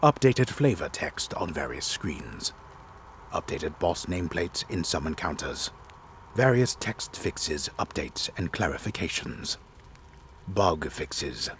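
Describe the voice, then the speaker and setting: An actor playing a disembodied storyteller, a man reading game narration in a solemn, deliberate, theatrical way. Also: a bass voice, very animated, a bit bright, slight background noise, heavily acted. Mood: solemn, dramatic.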